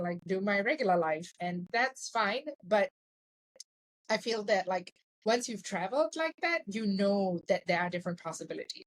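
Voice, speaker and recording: A woman's voice: medium pitch, poor audio. A young woman from Singapore, chatting as a podcast guest and filling her talk with "like" and "you know.". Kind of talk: casual interview chat.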